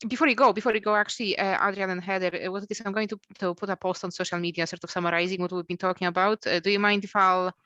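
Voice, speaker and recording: Halting woman on dull call audio. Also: medium pitch, steady tone, fairly bright tone, dry recording. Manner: halting, many fillers and restarts. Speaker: event organiser. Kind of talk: hesitant discussion on a video call.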